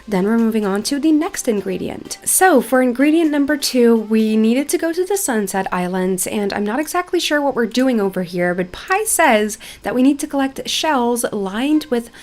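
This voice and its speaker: A woman's voice: on the high side, lively intonation, moderate pace, few pauses, a little mellow. A woman hosting a gaming channel, chatting enthusiastically as she narrates her playthrough in a casual style. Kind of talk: excited game playthrough narration with casual chat.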